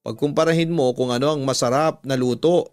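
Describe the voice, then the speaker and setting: A man's voice: medium pitch, fairly even intonation, a little fast, darkish tone, faint music behind, lightly acted. A man with a Filipino accent voicing a pushy neighbour woman in a radio story, insistent and reproachful. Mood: wheedling reproach. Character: pushy, smiling neighbour woman pressing food on others.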